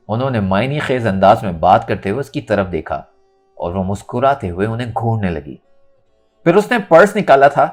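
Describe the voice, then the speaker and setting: Male narrator, mid-range voice, highly varied intonation, dramatic novel reading, faint music underneath. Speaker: audiobook narrator.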